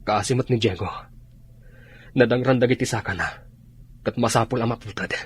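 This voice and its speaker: Husky, commanding army officer. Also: male voice, mid-range voice, moderate pace. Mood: grave, concerned. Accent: Ilocano. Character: grave male army officer, commanding and concerned. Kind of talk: Ilocano radio drama acting.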